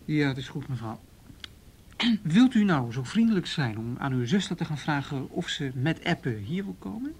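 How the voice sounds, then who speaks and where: An actor playing a patient, tactful police detective in a radio play, asking questions in a measured, procedural and hesitant way. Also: male voice, fairly high pitch, sing-song, darkish tone, husky, exaggerated voice. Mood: businesslike, patient. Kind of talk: Dutch radio drama dialogue.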